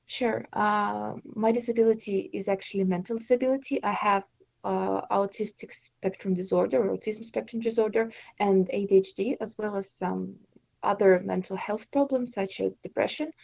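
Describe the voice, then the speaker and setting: A woman's voice: mid-range voice, fairly even intonation, normal speed, vocal fry, darkish tone, no room sound, lo-fi sound. A woman in her twenties from Estonia, a native Russian speaker, answering interview questions in a hesitant way with many fillers. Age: 20s. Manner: hesitant, with frequent fillers and restarts. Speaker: interview guest, streamer.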